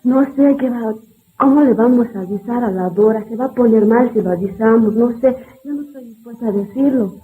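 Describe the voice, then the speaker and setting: A woman's voice: fairly high pitch, a little fast, husky. A woman playing a frightened, grieving woman in a radio drama, anxious and hesitant, on a tinny recording. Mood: anxious, fearful. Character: frightened grieving woman dreading to break news of a death. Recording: tinny phone-like band, light noise.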